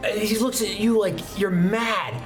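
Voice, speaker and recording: A man's voice: high-pitched, steady tone, measured pace. Male game master narrating over music. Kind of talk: improvised tabletop game narration.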